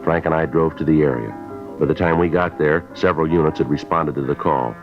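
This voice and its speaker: An actor playing a terse, businesslike police detective in a 1950s radio drama, deadpan and clipped, on a dull vintage recording. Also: male voice, rumbling low, brisk, over music.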